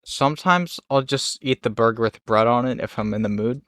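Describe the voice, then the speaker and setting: A man's voice: mid-range voice, brisk, fairly bright tone, no echo. A young man chatting casually and easily with a friend, laughing often. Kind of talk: casual chat.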